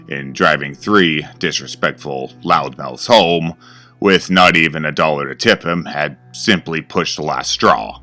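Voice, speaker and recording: Man with a super low voice reading a creepy horror story, slow and grim, faint music under it. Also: pushed and bright. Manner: slow, grave and ominous. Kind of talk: scripted horror narration.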